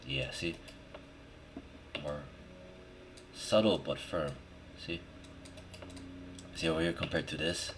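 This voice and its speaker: Really deep slow guy mumbling thru a software tutorial, short slangy bits, noisy room w keyboard clicks. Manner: muttering short fragments, slangy. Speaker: 3D modeling tutorial host. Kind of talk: casual screen-recorded tutorial, thinking aloud while working. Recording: clear background noise, keyboard and mouse clicks. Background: typing and mouse clicking.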